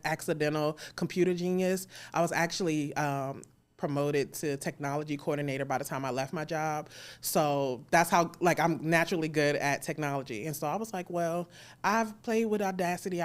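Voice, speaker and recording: A woman speaking casually and candidly as an interview guest, informal and quick to laugh. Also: low voice, clear, resonant tone. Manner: candid, informal, laughs easily while talking. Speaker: interview guest, audio drama creator and sound designer. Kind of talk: casual conversational interview at a live event.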